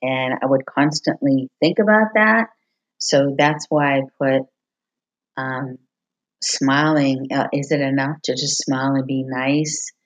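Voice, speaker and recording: A woman who hosts a podcast and writes songs, talking openly and reflectively at home while hoarse from losing her voice. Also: very deep voice, a little slow, lo-fi sound. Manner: open, reflective, confiding. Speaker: podcast host, singer-songwriter. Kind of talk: candid personal monologue. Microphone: computer recording.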